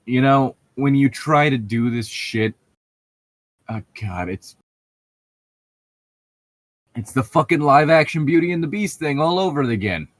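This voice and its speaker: A man's voice: medium pitch, expressive, unhurried, long pauses, no echo. A man reading fan fiction aloud and breaking off into sarcastic, sweary commentary with an exasperated, mocking manner.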